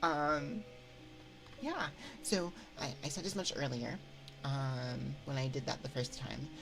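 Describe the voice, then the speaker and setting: A woman hosting an ASMR livestream, chatting casually and haltingly as she replies to viewers on a binaural microphone. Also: rumbling low, gravelly, over music, low-quality recording. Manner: halting, reading and replying to chat. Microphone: binaural 3Dio microphone.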